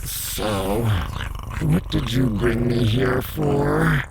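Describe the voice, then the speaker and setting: A huge, lazy she-spider from an audiobook complains slowly in a gravelly, raised voice, with a man acting her out. Her words drag as if every one costs her effort. The take carries a noisy background. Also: medium pitch, pitched above the performer's own voice. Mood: wary. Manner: slow, drawn-out complaining. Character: fat, lazy, grumbling female giant spider. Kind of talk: audiobook character voice.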